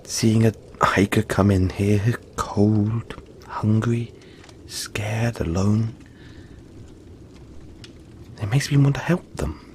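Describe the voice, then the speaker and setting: A man voicing a gentle, friendly young yeti in an intimate audio roleplay, speaking softly and reassuringly, with halting, breathy phrasing. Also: fairly low pitch, slight background noise. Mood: friendly, earnest. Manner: soft-spoken, reassuring, halting, often breathy near-whisper.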